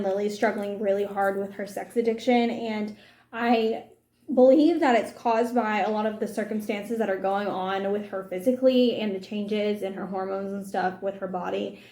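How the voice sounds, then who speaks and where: A woman's voice: medium pitch, warm tone. Rambling woman in an echoey room. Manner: rambling, candid, many restarts. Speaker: book vlogger. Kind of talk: casual commentary on a novel.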